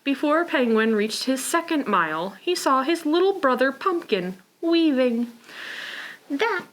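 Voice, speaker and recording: Slow, lively high-voiced woman reading to preschoolers. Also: low-quality recording. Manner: simple, encouraging talk addressed to small children. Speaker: library preschool storytime host. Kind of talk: children's storytime read-aloud.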